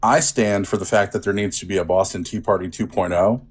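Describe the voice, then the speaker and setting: A man's voice: low-pitched, steady tone, fairly quick, husky. A man in a casual political debate, laid-back and rambling, teasing the others.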